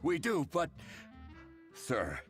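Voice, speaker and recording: A man's voice: fairly high pitch, wildly swooping pitch, extremely slow, faint music behind, heavily acted. An actor playing an anxious junior guard in a video game, faltering and deferential as he urgently addresses his superior. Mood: panicked. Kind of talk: video game character acting.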